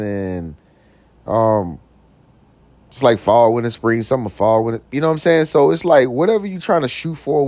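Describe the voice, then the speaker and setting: Young guy doing his podcast, loose slangy talk, voice just got deeper, dry close sound. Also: darkish tone, no room sound. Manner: loose, slangy, lots of "you know what I'm saying"; jokes around, puts on silly voices. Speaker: podcast host. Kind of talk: casual motivational talk.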